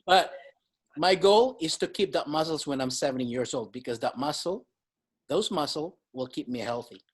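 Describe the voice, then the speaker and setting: A man in his fifties hosting a casual fitness panel, emphatic and quick to laugh. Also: a little high, slightly rough. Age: 50s. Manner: animated, emphatic, laughs often. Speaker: host.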